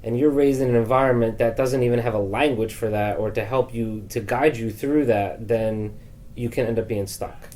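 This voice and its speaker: A man's voice: mid-range voice, steady tone, normal speed, a little mellow. A man hosting a casual conversational podcast, probing tentatively and searching for words as he builds each point. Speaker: podcast host.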